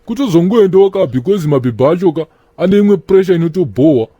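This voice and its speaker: A man's voice: sits high, animated, quick pace, mellow, no room sound, highs cut off. A man with a Zimbabwean accent voicing a laid-back cartoon character who holds forth to his mates in slang. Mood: opinionated. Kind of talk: animated comedy dialogue, slangy banter.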